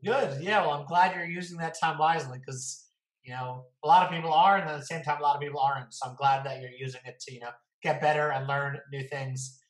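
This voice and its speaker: A man's voice: on the high side, brisk. A man hosting a casual interview, chatting and putting questions to a guest in a roomy, echoing recording. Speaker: interview host. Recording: echoey, roomy sound.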